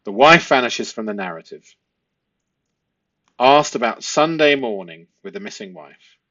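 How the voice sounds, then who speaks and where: A man's voice: mid-range voice, animated, measured pace, bright, forceful tone. Man analysing, dull lo-fi recording. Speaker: host analysing a police interview. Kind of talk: analytical commentary to viewers.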